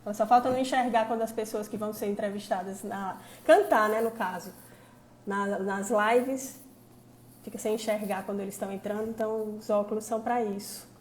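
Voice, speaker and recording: A woman's voice: medium pitch, steady tone, a little fast, a little hiss or hum. A woman from Fortaleza with a Northeastern Brazilian accent, hosting a casual live stream on her phone with many chatty asides. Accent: Northeastern Brazilian (Ceará). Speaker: host, labor prosecutor.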